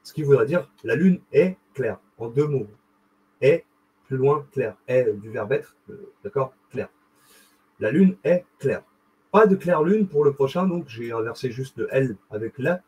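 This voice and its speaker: A young man hosting his own video, talking straight to the viewer in a loose, slangy ramble that keeps jumping up with excitement. His voice sits in a middle pitch with a slightly husky, breathy edge. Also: a little slow, poor audio. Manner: rambling, animated, slangy, addresses viewer directly. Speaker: video host. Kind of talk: casual explanatory monologue.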